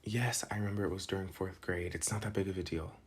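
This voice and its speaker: School bad boy played by a young man: deep-leaning raspy voice, slangy, protective, teasing, close ASMR boyfriend roleplay. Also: steady tone, fast. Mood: concerned, earnest. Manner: casual slangy teasing with soft sighs. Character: protective teenage school delinquent, gruff but soft-hearted, teasing.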